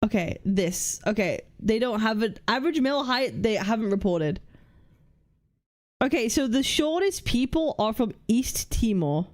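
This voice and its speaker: A young woman hosting a casual livestream, reading chat and lists aloud, blunt and swearing freely as she thinks out loud. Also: fairly high pitch, expressive, unhurried. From United Kingdom. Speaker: livestream host.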